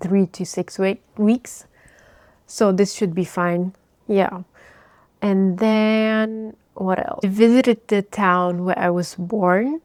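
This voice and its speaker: A woman in her thirties, originally from Bulgaria, giving a thoughtful personal life update and often pausing to search for words. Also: medium pitch, darkish tone, smooth, no room sound. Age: 30s. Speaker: vlogger.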